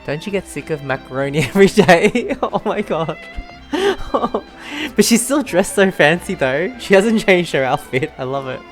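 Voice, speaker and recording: Low-voiced woman streamer, giggly and informal. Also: sing-song, moderate pace. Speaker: gameplay streamer. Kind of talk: casual gameplay commentary. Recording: faint game music behind.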